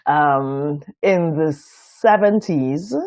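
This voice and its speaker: A Trinidadian woman in her fifties, talking as a podcast guest. She is thoughtful and often pauses with fillers. The sound is dry and close. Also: deep voice, darkish tone, no echo. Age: 50s. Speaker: guest designer. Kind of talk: reflective podcast interview.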